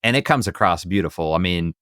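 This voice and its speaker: A man's voice: deep voice, animated, fast, sharp tone, no echo, clean studio sound. A man co-hosting a casual movie discussion podcast, talking in a rambling, enthusiastic, conversational way. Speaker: podcast co-host.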